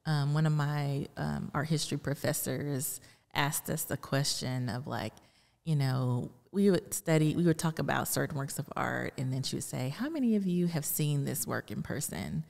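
A woman's voice: deep voice, normal speed, gravelly, darkish tone. A middle-aged American woman from Texas giving casual conversational answers as an interview guest. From Houston, Texas, United States. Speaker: art curator, interview guest. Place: indoor art gallery. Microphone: close podcast mic on boom arm, headphones. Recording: reverberant gallery room.

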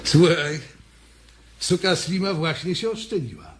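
A callous ranch hand in a radio drama. He is blunt and insistent, with a fairly high voice and very lively intonation. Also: a little slow, slight background noise.

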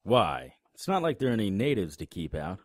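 An actor playing a wisecracking, unflappable paranormal investigator in an audio drama, with a dry, sarcastic delivery. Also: male voice, lowish voice, sing-song, a little fast, dry recording. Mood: dry sarcasm. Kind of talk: audio drama dialogue and first-person noir narration.

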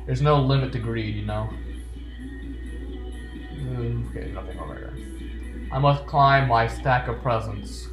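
A man's voice: mid-range voice, a little slow, long pauses. A man giving playful, joking commentary while playing a game, reading on-screen text aloud. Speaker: gamer. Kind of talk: let's play commentary. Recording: roomy echo with game music. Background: game music.

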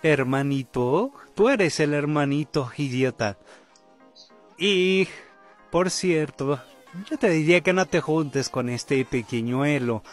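A man's voice: fairly high pitch, slow. A man playing a vain, scornful tall older brother, a puppet character in a fandub, exasperated and taunting. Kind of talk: amateur Spanish fandub roleplay acting. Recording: loud music under the voice.

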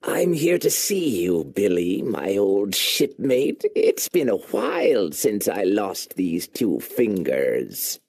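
A man voicing a sly, menacing pirate rogue with a raspy, gravelly voice in an audiobook. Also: fairly low pitch, huge pitch swings, a little mellow, dry recording, heavily acted. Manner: slow, sly, shifting from wheedling to threatening. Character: gravelly menacing pirate, pale one-handed sea rogue. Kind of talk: audiobook character voice.

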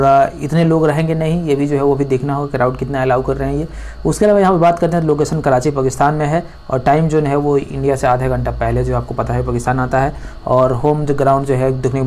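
A man talks quickly over a screen recording, circling back on himself with fillers and restarts. His voice sits at an ordinary middle pitch. A faint background noise runs under the speech. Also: a little mellow. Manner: rambling, many fillers and restarts. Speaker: cricket pitch analyst. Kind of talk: screen-recorded commentary talk.

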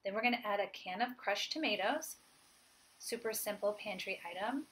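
A woman's voice: medium pitch, moderate pace, fairly bright tone, low-quality recording. A woman in her forties, a chef, giving a friendly, upbeat cooking demonstration and explaining each step plainly. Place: home kitchen, indoors.

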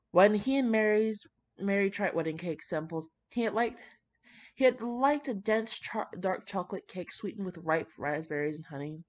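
A woman's voice: mid-range voice, expressive, measured pace, pauses often, darkish tone. A woman reading aloud casually with chatty asides, irreverent, slangy and sweary in her manner. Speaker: host reading fan fiction aloud. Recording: dull, lo-fi recording.

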